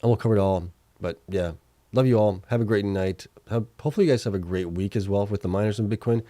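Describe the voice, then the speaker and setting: Low, mellow man thinking aloud. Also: fast talker, no room sound. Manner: thinks aloud, many fillers and self-corrections; reads out viewer chat questions. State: starting to lose his voice. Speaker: livestream host. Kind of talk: casual livestream chat reading financial results.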